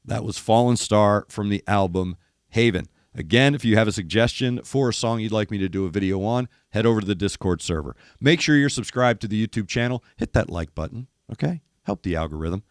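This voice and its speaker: An older man sits close to a dry, dead-sounding mic, his low voice gravelly and worn from losing it. He breaks down drum parts with emphatic, animated commentary, thumping out beats with his mouth. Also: brisk, no room sound. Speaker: host of a music reaction show. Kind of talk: casual music commentary. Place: studio in east Nashville.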